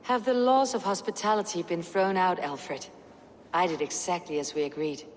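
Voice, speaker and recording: A woman's voice: lowish voice, normal speed, slight background noise, close to an everyday voice. A woman playing a blunt, defiant Viking warrior in a video game, speaking in a terse, stern, challenging manner. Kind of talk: video game dramatic acting. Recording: hall reverb, music behind.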